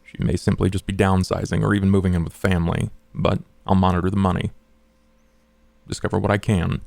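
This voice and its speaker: A man playing a formal, analytical vampire adviser in a tabletop game, speaking precisely and deliberately. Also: low voice, little pitch movement, gravelly, a little mellow, no echo. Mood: measured, formal.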